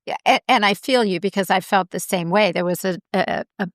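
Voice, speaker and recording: A middle-aged woman hosting a casual interview, supportive and quick to affirm her guest. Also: mid-range voice, no room sound, clean studio sound. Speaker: podcast host.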